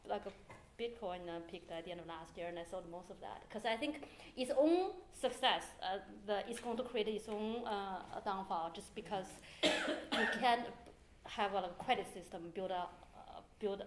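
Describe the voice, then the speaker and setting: A woman on a finance panel speaks in a mid-range voice, halting and filler-heavy. Her voice echoes around an event hall. A faint noise sits underneath. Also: mid-range voice. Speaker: hedge fund manager on a panel. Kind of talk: unscripted panel discussion. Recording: echoey room.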